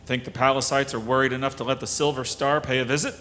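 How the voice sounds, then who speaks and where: An actor playing a brisk, wry space marshal hero in a retro sci-fi radio serial. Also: male voice, mid-range voice, little pitch movement, a bit bright, a little hiss or hum, close to an everyday voice. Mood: astonished.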